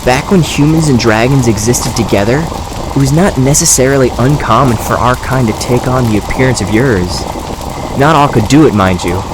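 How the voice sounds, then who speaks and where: Casting: male actor as a reflective dragon living as a man, measured delivery, medium pitch, noisy ambient bed, fantasy audio drama. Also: lightly acted. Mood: musing, candid. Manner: measured, thoughtful. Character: male dragon in human form, patient and reflective. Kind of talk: audio drama character acting. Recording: steady noise behind the voice.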